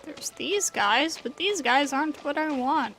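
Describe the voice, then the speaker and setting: A woman with a high voice streams a video game at an unhurried pace, chatting casually to herself as she plays. Game music sits behind her voice. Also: expressive, fairly bright tone. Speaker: game livestreamer. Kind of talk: casual gameplay commentary, reading game text aloud.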